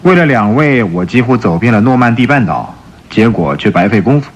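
An actor playing a stern, suspicious and stubborn police chief in a radio drama, questioning insistently in a husky voice. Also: male voice, medium pitch, sing-song, fairly quick, lo-fi sound. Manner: probing, insistent, theatrical questioning.

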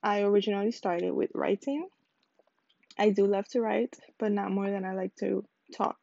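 Woman, 20s, from the Virgin Islands, solo podcast host; squeaky, rambling, self-correcting delivery; dry, close recording. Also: moderate pace, gravelly, dark tone, no echo, highs cut off. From United States Virgin Islands. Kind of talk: casual solo podcast intro.

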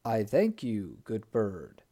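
A commanding older sultan, as a storyteller puts him on, regal and emphatic. He speaks at a slow, measured pace, with lively swings in pitch. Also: exaggerated voice.

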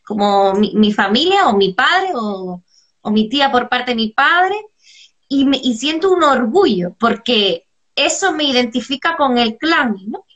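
Woman co-host kinda high voice, musing out loud on a video call. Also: lively intonation, measured pace, highs cut off. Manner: musing, asks others' opinions. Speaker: co-host interviewer. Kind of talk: reflective interview chat.

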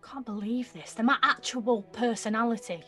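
Defiant nineteen-year-old girl, played by an actress: slightly high, slangy, sulky, unhurried, audio drama with faint music bed. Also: fairly bright tone, husky. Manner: slangy, blunt, petulant. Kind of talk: audio theatre acting.

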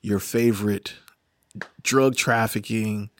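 A man's voice: lowish voice, fairly even intonation, takes it slowly, fairly bright tone, no room sound, studio quality. A man hosting a podcast in a loose, casual chat style, rambling, swearing freely and laughing often. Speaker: podcast host.

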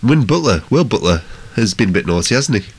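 A man's voice: on the low side, sing-song, fairly quick, husky. A man, congested with a cold, chatting enthusiastically about music as a podcast co-host. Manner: enthusiastic, effusive. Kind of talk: casual music discussion.

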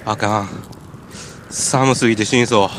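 A man's voice: on the low side, steady tone, measured pace, fairly bright tone, pitched below the performer's own voice. A man with a Kansai accent playing a hungry, freezing, silly stranded climber in an amateur comic radio drama, with absurd earnestness. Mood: miserable. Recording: noisy amateur recording.

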